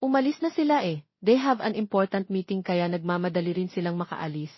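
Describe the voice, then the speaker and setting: A woman voicing a kind grown man who is gentle and apologetic with children in an audio romance story. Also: lowish voice, a little fast, breathy edge, no echo, muffled recording.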